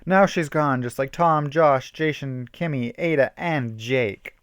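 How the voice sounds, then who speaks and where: A man's voice: medium pitch, a little slow, fairly bright tone, clear, resonant tone, no echo, clean studio sound, voice pushed up, natural voice. A man voicing a young man in a horror survival story, urgent and distraught, exclaiming dramatically. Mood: distressed.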